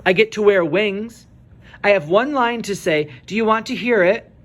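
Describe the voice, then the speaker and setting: A man's voice: high voice, moderate pace, fairly bright tone, pitched above the performer's own voice. A man voicing an earnest, eager young girl in a children's storybook read-aloud. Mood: eager, inviting. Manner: earnest, wide-eyed, eager.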